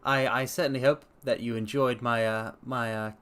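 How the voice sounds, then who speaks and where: A man's voice: mid-range voice, steady tone, normal speed, a bit bright, clean tone. A man giving a dramatic story reading as a streamer, with a theatrical, grandiose way of talking to listeners. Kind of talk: dramatic scripted narration with theatrical asides.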